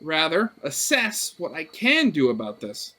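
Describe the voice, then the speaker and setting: A man's voice: a little high, wildly swooping pitch, measured pace, bright, forceful tone. A man narrating a visual novel aloud as a gaming host, switching between storybook-like reading and offhand, sarcastic commentary.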